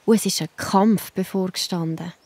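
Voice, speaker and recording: A woman's voice: on the low side, normal speed, darkish tone, husky, no room sound. A woman with a Swiss German accent narrating a scripted children's Bible story, reassuring and speaking straight to the young listener.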